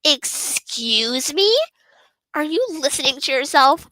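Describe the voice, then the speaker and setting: A woman voicing a feisty, sharp-tongued schoolgirl in a visual novel, snappy and confrontational. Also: high-pitched, expressive, slow pace, no echo, exaggerated voice, poor audio. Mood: indignant.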